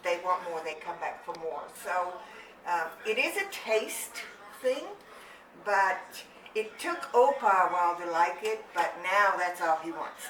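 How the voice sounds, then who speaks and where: A woman's voice: lowish voice, expressive, unhurried, a bit bright. Older woman from germany walking you through a recipe in her kitchen, echoey room, mic a bit far off. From Germany, Rhineland-Palatinate. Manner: homey, chatty, step-by-step explaining. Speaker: cooking channel host. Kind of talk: casual cooking demonstration. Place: home kitchen.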